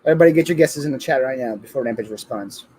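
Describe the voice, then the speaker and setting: A man's voice: medium pitch, rapid-fire, darkish tone. Joking man with faint background noise. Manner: joking, stammers on restarts, reads chat aloud. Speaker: co-host of a livestream. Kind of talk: casual livestream chat.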